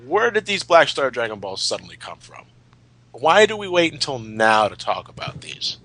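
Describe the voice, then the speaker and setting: A man's voice: medium pitch, big pitch swings, normal speed, a bit bright, low-quality recording. A man chatting casually as a podcast co-host, opinionated and emphatic, with plenty of swearing. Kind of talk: casual chat, retrospective commentary.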